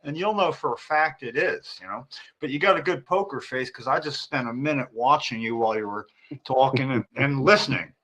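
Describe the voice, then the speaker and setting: Bright-voiced man giving folksy advice. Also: medium pitch, fairly quick, rough recording. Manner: advising, folksy. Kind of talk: casual chat.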